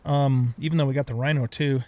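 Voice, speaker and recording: A man's voice: mid-range voice, fairly even intonation, fairly quick, a little mellow, dry recording. A middle-aged man giving casual let's play commentary, chatting and thinking aloud as he goes. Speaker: gaming let's play host.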